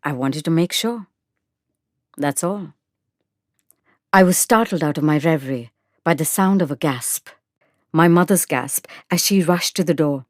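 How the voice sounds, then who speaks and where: A woman narrating a first-person short story in an expressive, measured, dramatic way. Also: low voice, no echo, studio quality. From India. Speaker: narrator and actor.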